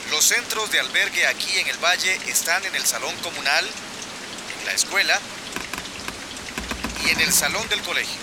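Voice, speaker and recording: An actor playing an earnest, measured local radio announcer reading emergency bulletins, with a Central American Spanish accent. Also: male voice, on the high side, lightly acted. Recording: very noisy, rain over the voice.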